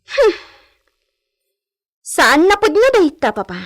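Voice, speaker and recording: A woman playing a haughty, spoiled young landowner's daughter in a Filipino radio drama, with a Filipino accent and drawn-out, Spanish-flavoured phrasing. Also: extremely high pitch, sing-song, heavily acted. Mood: haughty, coaxing. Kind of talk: Ilocano radio drama acting.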